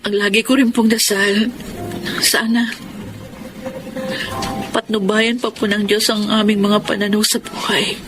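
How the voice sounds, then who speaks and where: A woman's voice: medium pitch, level tone, unhurried, long pauses, over music, close to an everyday voice, rough recording. A woman playing an earnest, respectful, emotional grown daughter in a Filipino radio drama. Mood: earnest, hopeful. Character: grieving, dutiful, hopeful grown daughter and eldest sibling. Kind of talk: Filipino radio drama acting and first-person narration.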